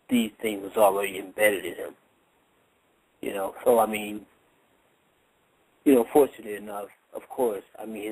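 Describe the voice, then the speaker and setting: Low-voiced man explaining in a casual interview. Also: slightly rough, no echo, poor audio. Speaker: boxing trainer, guest analyst. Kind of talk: casual radio interview talk.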